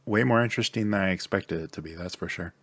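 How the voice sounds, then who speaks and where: A man's voice: low-pitched, fast, a bit bright, breathy edge, dry recording. A man co-hosting a gaming podcast, chatting casually about what he has played. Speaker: podcast co-host.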